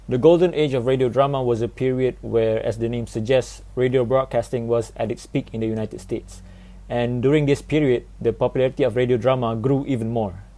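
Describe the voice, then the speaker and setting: A man's voice: medium pitch, warm tone. A man, giving a prepared explanatory talk as a student presenter. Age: 20s.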